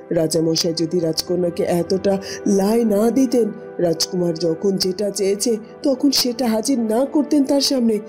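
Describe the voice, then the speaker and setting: A woman's voice: mid-range voice, warm tone, close to an everyday voice. A woman voicing a resigned, disapproving member of a royal household in a fairy-tale cartoon. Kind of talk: cartoon voice acting.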